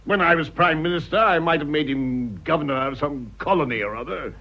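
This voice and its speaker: An actor playing an irascible, vain aging British politician in a radio drama, blustering, indignant and pompous. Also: male voice, a little high, a little fast, creaky. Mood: scornful. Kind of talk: radio drama acting of a stage comedy. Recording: dull lo-fi radio drama recording.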